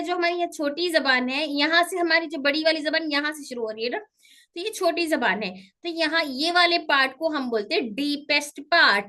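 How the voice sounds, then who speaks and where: Woman tutor, high and bright, patient, repeats terms, quizzes students. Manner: patient, repeats each term with its English gloss; checks on students with questions. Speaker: teacher of Quranic pronunciation. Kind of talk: online class lecture.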